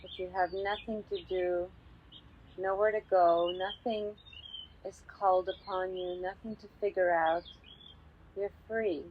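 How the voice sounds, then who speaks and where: A woman teaching a guided meditation calmly and unhurriedly, pausing often between short phrases. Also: fairly low pitch, a little hiss or hum. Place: outdoors in a garden. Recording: slightly dull sound with birdsong. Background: birdsong.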